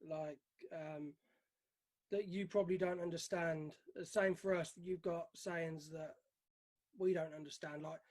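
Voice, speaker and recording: British guy doing a casual interview, pretty high voice for a man. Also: fairly even intonation, a little slow. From United Kingdom. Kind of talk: casual chat.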